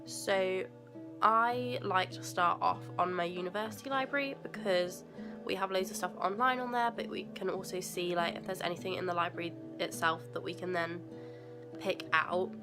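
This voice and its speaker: A woman's voice: on the low side, steady tone, normal speed, over music. A young woman from England, a university student, chatting casually in a rambling way with a stuffy nose from a cold, at home. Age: young adult. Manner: chatty and rambling, many fillers and asides. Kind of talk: casual vlog chat. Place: at home indoors.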